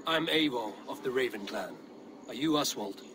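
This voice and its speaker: Guy acting a confident blunt viking hero in a game, dry challenging tone, middle pitch, some noise behind. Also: animated, moderate pace, close to an everyday voice. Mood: steady, frank. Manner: dry, challenging, self-assured. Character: steady, blunt Viking clan warrior, male, confident. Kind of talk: video game cutscene acting.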